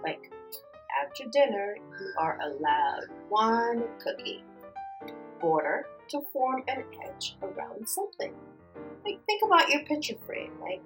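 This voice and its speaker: A woman teacher reading aloud and explaining words to young children in an expressive way, with simple asides and check-in questions. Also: mid-range voice, poor audio. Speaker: elementary school teacher. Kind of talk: read-aloud storytelling and word lesson for young children.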